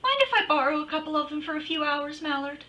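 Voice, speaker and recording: Woman acting a bright, swooping lady private eye. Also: high-pitched, expressive, fast. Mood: coaxing. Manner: breezy, teasing, wry. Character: bold, wisecracking female private detective narrating her case. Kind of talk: old-time radio detective drama acting.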